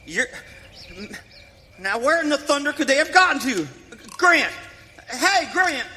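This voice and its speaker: Shrill, blustering frontier father. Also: sing-song, unhurried, sharp tone, full character voice. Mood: flustered. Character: excitable frontier settler father, worried and blustering. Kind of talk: live western radio drama acting. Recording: reverberant hall, faint background noise.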